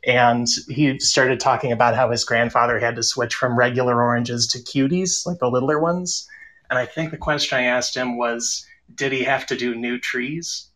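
Male podcaster, conversational and earnest, mid-pitched voice, panel talk. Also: fairly even intonation, normal speed, fairly bright tone, poor audio. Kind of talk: casual panel discussion.

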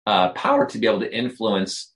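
A man's voice: lowish voice, steady tone, brisk. A man co-hosting a faith podcast, reflective and hesitant, with many ums and uhs. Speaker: podcast co-host, coach.

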